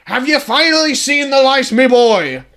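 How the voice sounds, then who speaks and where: A man voicing a gruff father leading a clan, booming and old-fashioned in speech. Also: expressive, moderate pace, fairly bright tone, heavily acted. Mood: stern.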